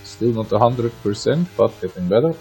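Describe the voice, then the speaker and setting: Low gravelly Austrian male race commentator. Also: lively intonation, normal speed, mellow, soft background music, low-quality recording. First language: German. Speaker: sim racing league commentator. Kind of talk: live motorsport commentary, conversational and wry.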